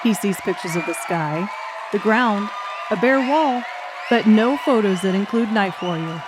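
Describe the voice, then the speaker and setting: A woman's voice: medium pitch, lively intonation, measured pace, crowd noise, noisy background. A woman narrator reading scripted audiobook narration.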